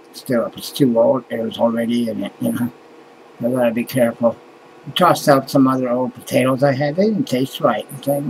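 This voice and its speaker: A man's voice: mid-range voice, creaky, darkish tone, a little hiss or hum, low-quality recording. Mumbling, cussing man on a webcam. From Canada. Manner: mumbling, sighing, swears often, trails off. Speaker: vlogger. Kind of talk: casual rambling vlog. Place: small cluttered room indoors at night. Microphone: webcam close to face.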